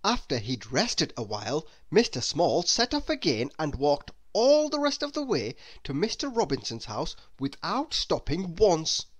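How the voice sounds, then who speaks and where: Slow, very animated male storyteller. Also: on the high side. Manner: expressive, deliberate read-aloud delivery. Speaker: storyteller reading a children's book aloud. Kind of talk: scripted narration for young children.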